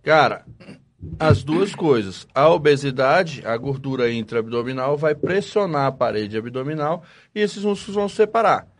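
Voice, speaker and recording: A man, a plastic surgeon co-hosting a podcast, explaining a procedure in a relaxed, casual way. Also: on the high side, normal speed. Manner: relaxed, explaining with fillers and restarts, swears jokingly.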